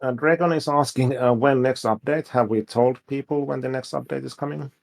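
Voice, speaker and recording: A man's voice: medium pitch, brisk, creaky. A man with a Finnish accent, a game developer answering questions casually on a livestream, with many fillers. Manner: relaxed, hesitant, many fillers.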